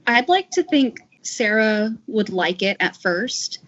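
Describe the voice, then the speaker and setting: Husky-voiced woman chatting, lo-fi audio. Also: mid-range voice, lively intonation, slow pace, a bit bright. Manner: informal, frequent "like", trailing thoughts. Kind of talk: casual panel discussion. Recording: dull, lo-fi audio.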